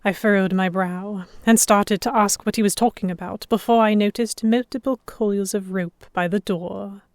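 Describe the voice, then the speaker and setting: A woman's voice: medium pitch, fairly even intonation, normal speed, darkish tone, no echo, clean studio sound, natural voice. A woman playing a defiant, sheltered young lady held by pirates, narrating an audio drama in first person with articulate, literary phrasing. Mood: tense, alarmed. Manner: articulate, literary, reflective storytelling.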